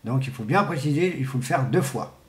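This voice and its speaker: A middle-aged man speaks at a middling pitch in an echoey room. He explains things informally and without a script, like a hobbyist presenter. His delivery is halting and colloquial, and he repeats himself to make a point. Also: expressive. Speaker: amateur CB radio enthusiast presenting. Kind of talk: informal unscripted explainer to camera. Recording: echoey, roomy sound.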